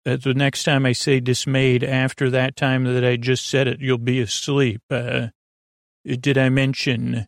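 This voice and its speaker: Creaky-voiced man rambling at bedtime. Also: medium pitch, flat delivery, measured pace, dry recording, studio quality. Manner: meandering, full of tangents and restarts. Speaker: sleep podcast host.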